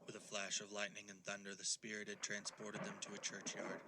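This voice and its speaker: A man narrating a ghostly Victorian audio play as a solemn, measured storyteller. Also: fairly low pitch, completely flat delivery, heavy background noise, natural voice. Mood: ominous. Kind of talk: scripted dramatic narration.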